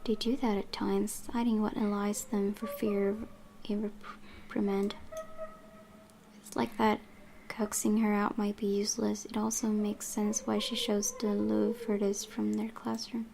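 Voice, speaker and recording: A woman's voice: medium pitch, little pitch movement, a little slow, vocal fry, dark tone, slight background noise. A woman hosting a gaming channel, reading a visual novel's narration aloud with occasional asides.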